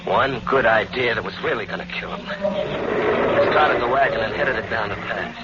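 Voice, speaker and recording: A man's voice: medium pitch, lively intonation, normal speed, natural voice. An actor playing a bitter, betrayed man turned vengeful killer in a sardonic, hard-boiled 1940s radio crime drama. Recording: dull, noisy old radio recording.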